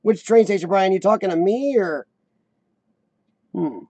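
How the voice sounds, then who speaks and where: A man in his forties hosting a casual live chat, with a high-pitched squeaky voice worn by coughing, who often clears his throat and restarts his sentences. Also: unhurried, warm tone, no echo. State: voice worn from lung illness and coughing. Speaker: host of a live chat show. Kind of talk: casual live-stream chat reading viewer comments.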